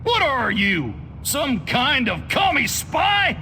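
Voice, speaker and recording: An emphatic, red-baiting American official from a cartoonish video game. The voice is extremely high for a man, forceful and cutting, leaping around in pitch. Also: sing-song, takes it slowly, slight background noise, full character voice. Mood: outraged, rallying. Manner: blustery, emphatic. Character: blustering, hot-headed anti-communist American male official.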